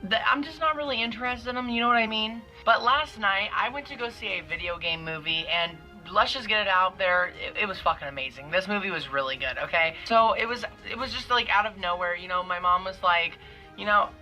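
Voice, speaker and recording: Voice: medium pitch, a little fast, sharp tone, faint music behind, poor audio. A young adult giving a casual, gushing movie review in a vlog.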